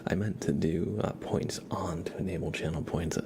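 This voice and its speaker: A man hosting an ASMR livestream, chatting casually and softly in a gentle, rambling way, often slipping into a whisper. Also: deep voice, steady tone, moderate pace, creaky, slight background noise. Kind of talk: soft-spoken ASMR with casual livestream chat.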